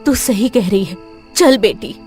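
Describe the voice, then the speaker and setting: A woman's voice: medium pitch, unhurried, darkish tone, close to an everyday voice. A woman playing an anxious, devoted village wife and mother in a cartoon, tender and worried. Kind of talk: Hindi cartoon voice acting. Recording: prominent music bed behind.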